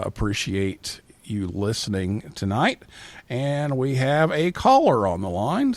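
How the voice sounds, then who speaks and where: A man's voice: fairly low pitch, no room sound. A man hosting a live call-in show, speaking as the presenter. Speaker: podcast and radio show host.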